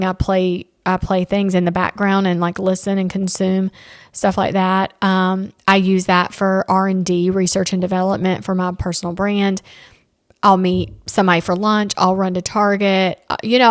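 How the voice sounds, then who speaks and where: A woman's voice: mid-range voice, no echo, clean studio sound. A woman in her thirties hosting a casual solo podcast, chatty and candid, with playful asides. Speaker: podcast host.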